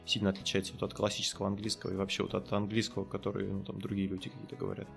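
A man's voice: lowish voice, monotone, very fast, gravelly, faint music behind. A man with a southern Russian accent from the Kursk region, interviewing casually and asking hesitant questions full of fillers. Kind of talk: casual conversation.

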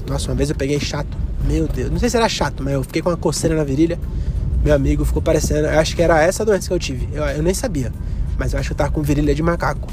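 A man's voice: fairly high pitch, quick pace, pauses often, over music. A young man hosting a solo podcast, rambling and joking with crude slang, coughing from a cold. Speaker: podcast host. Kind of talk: solo comedic podcast monologue.

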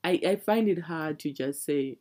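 A woman from Zimbabwe in a casual conversation, speaking in a reflective, measured way. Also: low voice, warm tone.

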